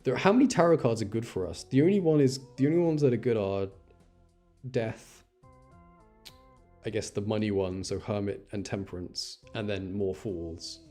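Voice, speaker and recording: Male game streamer, lowish mellow voice, chatty and mildly exasperated, faint music underneath. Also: normal speed. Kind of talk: casual live game commentary, thinking aloud.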